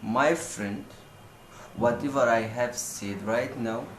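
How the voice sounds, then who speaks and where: A man's voice: medium pitch, slow pace, echoey room, background noise. A young man with an Egyptian accent, casually teaching simple phrases and repeating them with lots of fillers. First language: Egyptian Arabic. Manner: loose, repetitive drilling of phrases, many fillers. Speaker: language video host. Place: room at home, seated on a sofa.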